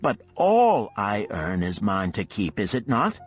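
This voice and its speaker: A man's voice: on the low side, huge pitch swings, unhurried, slightly rough, soft background music, exaggerated voice. A man voicing an earnest young scribe, proud and questioning, in an audiobook parable. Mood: doubtful, questioning. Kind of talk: audiobook parable character dialogue.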